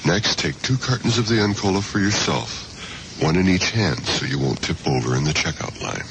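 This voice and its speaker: Really low guy, deadpan chatty old radio commercial, noisy am tape. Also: moderate pace. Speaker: commercial announcer. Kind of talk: tongue-in-cheek 1970s radio commercial. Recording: noisy 1970 AM radio aircheck.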